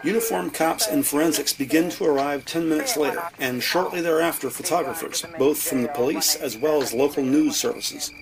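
A man narrating a scripted audio drama in measured, descriptive storytelling. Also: a little high, vocal fry, music in the background, rough recording.

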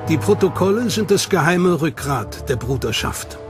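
A man giving measured, serious scripted narration for a documentary. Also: a little high, animated. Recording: music bed under voice.

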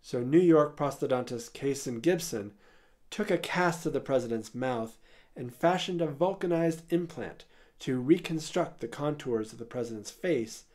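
A man narrating a scripted history story in a measured way, with an occasional dry aside. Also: on the high side, darkish tone.

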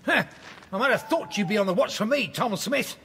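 An actor with a British accent playing a distrustful, snappish crook in a children's audio drama. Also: male voice, high voice, animated, brisk, a bit bright. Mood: surly. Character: distrustful, snappish male crook with guard dog. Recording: faintly noisy cassette audio drama.

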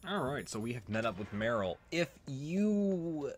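A man's voice: mid-range voice, sing-song, a little slow, a little hiss or hum. A man giving casual commentary as he plays through a video game. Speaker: Let's Play commentator. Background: game music.

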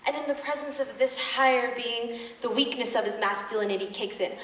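Echoey, high-voiced young woman performing slam poetry. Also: fairly bright tone. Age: young adult. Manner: fierce, emphatic, rhythmic delivery with dramatic pauses. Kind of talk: dramatic spoken-word slam poetry recitation. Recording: echoey room, distant microphone.

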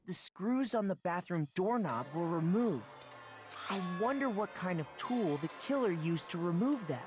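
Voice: mid-range voice, very animated, normal speed, lightly acted. A voice actor playing an earnest, thoughtful teenage boy working through clues in an anime video game. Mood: earnest, probing. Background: game music.

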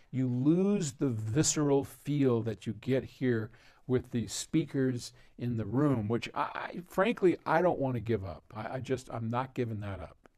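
An older man talks casually to camera, rambling through asides and laughing often. His voice sits at a middling pitch, and the lapel-miked sound in the listening room is close and dry. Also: no echo. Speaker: host answering viewer questions. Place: large listening room with speakers.

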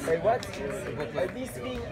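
A young man chatting casually in a halting, whimsical way as a street performer with his audience, outdoors. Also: extremely high pitch. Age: young adult. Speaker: street puppeteer selling handmade items. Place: outdoors at a street block party. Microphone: camera a few feet away. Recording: outdoor crowd chatter, loud background music.

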